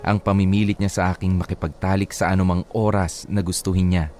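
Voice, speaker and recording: A man's voice: low-pitched, little pitch movement, a little fast, a little mellow, a little hiss or hum. A man with a Filipino accent narrating a first-person story as a radio drama host reading a letter.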